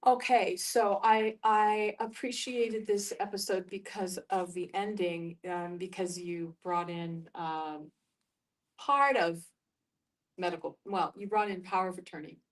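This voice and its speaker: A middle-aged American woman from New York facilitating a group discussion, musing aloud and telling personal stories in halting phrases. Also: fairly low pitch, steady tone, far from the mic, low-quality recording. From New York, United States.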